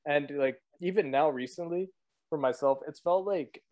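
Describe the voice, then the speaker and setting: A man's voice: fairly high pitch, moderate pace, darkish tone, dry recording. Young man, casual jokey chat, dry close sound. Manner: joking, teasing. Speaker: student podcast co-host.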